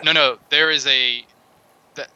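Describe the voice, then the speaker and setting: A man's voice: mid-range voice, steady tone, measured pace, pushed and bright, smooth, poor audio. A man chatting casually as a co-host on a video game podcast. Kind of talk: casual chat.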